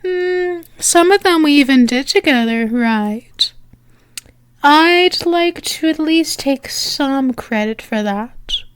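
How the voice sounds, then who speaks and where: A woman playing a teasing, lovestruck female demon in an intimate ASMR roleplay, speaking haltingly with fond endearments. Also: high-pitched, animated, no room sound. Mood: teasing, proud.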